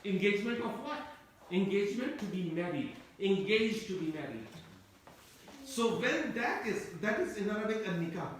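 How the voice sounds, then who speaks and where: A man's voice: sits high, animated, normal speed. Male Turkish-native lecturer, rambling explanatory delivery, distant mic, roomy echo, faint background hiss. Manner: discursive, repeats and restarts phrases. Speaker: Quran teacher. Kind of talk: tafseer lecture. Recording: echoey room, mic at a distance.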